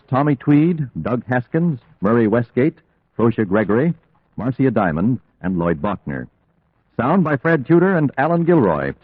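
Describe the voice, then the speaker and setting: A man's voice: fairly low pitch, breathy edge, no room sound. A man reading formally as an old-time radio announcer. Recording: dry, muffled vintage radio recording.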